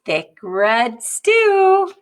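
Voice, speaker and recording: A woman voicing a kindly, generous female home cook from a storybook in a gentle, expressive, drawn-out way. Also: a little high, voice pushed up, exaggerated voice. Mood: welcoming. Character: kindly, generous woman who cooks stew for neighbors.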